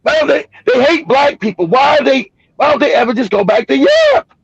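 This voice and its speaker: Guy with a high gravelly voice going off on a livestream, dull dry lo-fi sound. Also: fairly quick, dry recording. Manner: animated, emphatic, profane, repeats himself. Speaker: livestream host. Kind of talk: heated livestream commentary. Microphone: streaming mic. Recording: dry, dull lo-fi stream audio.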